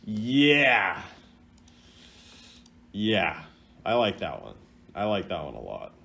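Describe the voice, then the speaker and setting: A man's voice: fairly low pitch, wildly swooping pitch, slow pace, creaky, bright, forceful tone. A man doing casual reaction commentary as a gaming creator, thinking aloud in an informal, rambling way. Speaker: video game content creator ranking voice lines.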